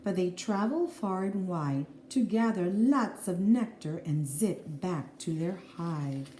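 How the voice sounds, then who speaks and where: A woman teacher reading a children's picture book aloud in an expressive, deliberate way, with explanatory asides to young listeners. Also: on the low side. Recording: roomy echo, faint background noise.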